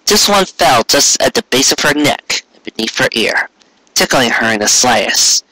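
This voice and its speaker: Guy reading a story out loud, mid-low bright voice, really dry sound, trips on words a bit. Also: expressive, normal speed, dry recording, poor audio. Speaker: amateur fan fiction reader. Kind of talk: scripted narration, storybook reading.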